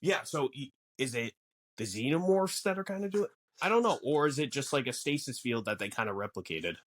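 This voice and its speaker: This is a podcast co-host in relaxed fan discussion. The delivery is musing and questioning, with very animated pitch movement. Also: brisk. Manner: musing, poses questions aloud.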